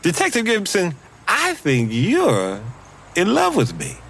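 A man's voice: sits high, wildly swooping pitch, slow, gravelly, fairly bright tone, breathy edge, exaggerated voice. An actor playing a wounded man in an audio drama, groggy and delirious on painkillers, flirty and defiant. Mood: woozy, flirty. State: groggy, medicated. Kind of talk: full-cast audio drama acting.

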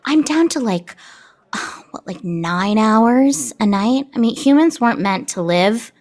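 High-voiced, melodramatic stage diva. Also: female voice, expressive, slow, a little mellow. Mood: scheming, dramatic. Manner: theatrical, gushing, self-important. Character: vain, scheming, melodramatic young stage diva. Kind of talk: audio musical comedy acting.

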